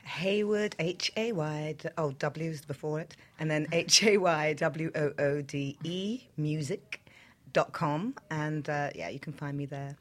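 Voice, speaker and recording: A woman guest on a talk show chatting casually in a halting, self-deprecating way. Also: deep voice. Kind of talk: casual chat.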